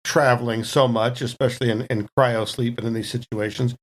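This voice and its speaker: A woman's voice: a bass voice, steady tone, fairly quick. A woman chatting casually as a player in a tabletop role-playing game, describing what her character does.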